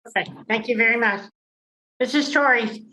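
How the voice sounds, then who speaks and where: A woman moderating a candidates forum, explaining the rules in a halting way, with many fillers and restarts. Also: a little high, sharp tone. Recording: echoey room with faint noise.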